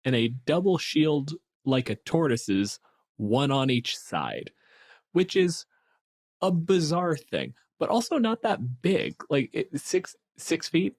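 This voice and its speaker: A man's voice: a little high, expressive, slow, darkish tone, dry recording, studio quality. A man chatting casually as a podcast co-host, explaining monster lore in a wry way with the odd swear word.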